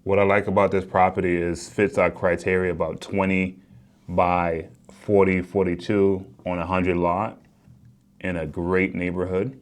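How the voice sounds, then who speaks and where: Deep-voiced American man, casual explainer. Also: flat delivery, a little slow. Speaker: real estate developer and agent, vlog subject. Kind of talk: casual explanatory vlog talk.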